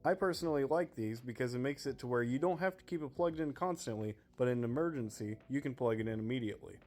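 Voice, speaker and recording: Male review narrator, mid-pitched, clear explanatory presenter delivery, music underneath. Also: fast talker, darkish tone. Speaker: product reviewer. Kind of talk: scripted product review voice-over. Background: background music.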